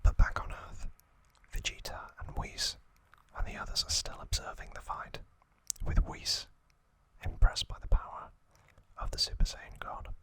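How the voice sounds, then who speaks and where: A man hosting ASMR, narrating in a breathy, unvoiced whisper with a gentle, deliberate manner and frequent pauses. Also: low-quality recording. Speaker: ASMR channel host.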